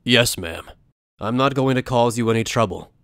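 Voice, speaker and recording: A man's voice: medium pitch, normal speed, a bit bright, clear, resonant tone, dry recording, lightly acted. A man acting as a principled anime soldier-journalist speaks in a mid-range voice. He is earnest and insistent, pressing his points sincerely. The sound is dry and close. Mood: wary. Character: earnest male Soul Reaper lieutenant and principled journalist. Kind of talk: anime fan dub acting.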